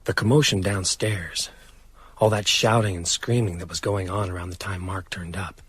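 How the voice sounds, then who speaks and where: An actor playing a sombre survivor recounting a horror story in measured, grave first-person narration. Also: male voice, sits low, close to an everyday voice.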